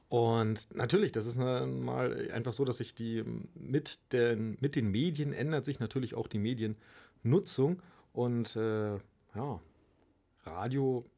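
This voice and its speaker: A man's voice: mid-range voice, dark tone. A man giving a conversational radio interview, reflecting aloud in a hesitant, searching way. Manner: hesitant, reflective, hedging phrasing. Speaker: interview guest, radio club leader.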